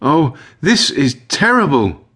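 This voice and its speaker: Man putting on an overwrought, high-pitched anxious woman. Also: big pitch swings, moderate pace. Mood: despairing. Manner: dramatic, fretful, emotional. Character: anxious, overwrought woman, kennel owner and dog breeder. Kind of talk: audiobook character voice.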